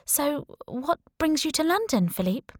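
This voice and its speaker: A woman's voice: high voice, sing-song, measured pace, no room sound, studio quality, pitched above the performer's own voice. A woman voicing a smiley, polite, flirty female intelligence officer making small talk in an audiobook. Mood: friendly, curious. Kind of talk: audiobook character dialogue.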